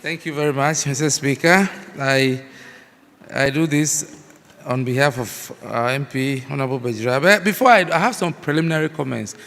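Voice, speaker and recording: A man's voice: medium pitch, normal speed, creaky, a bit bright. A man, a lecturer giving an enthusiastic, effusive formal address. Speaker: lecturer and guest speaker. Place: large hall at a youth parliament. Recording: reverberant hall.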